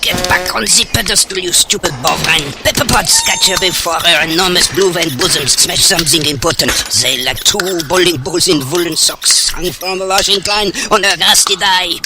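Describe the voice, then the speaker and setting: An irritable German professor in a comic audio play. His voice sits fairly high, with a thick, exaggerated accent and theatrical, insulting grumbling. Music plays clearly behind him. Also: normal speed, few pauses. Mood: frantic. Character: irritable German mad professor, pompous and exasperated. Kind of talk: comic audio drama acting.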